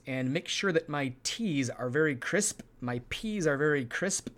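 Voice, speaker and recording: A painstakingly precise speaker, acted out by a man as a lesson example. Each word comes slowly and deliberately, with very crisp, sharp-edged consonants. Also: a little high. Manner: slow, over-enunciated, crisp consonants.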